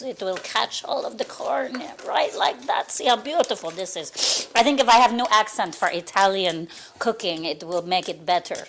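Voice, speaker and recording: A woman's voice: a little high, fairly bright tone, a little hiss or hum. Bubbly female cooking show co-host. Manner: bubbly, enthusiastic, laughs easily.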